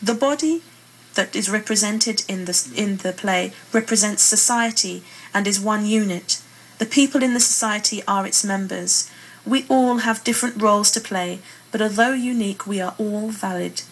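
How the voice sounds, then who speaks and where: A woman's voice: mid-range voice, moderate pace. A British woman, a playwright and poet, speaking earnestly as an interview guest. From Britain. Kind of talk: earnest interview talk with read-aloud passages. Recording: clearly noisy room ambience.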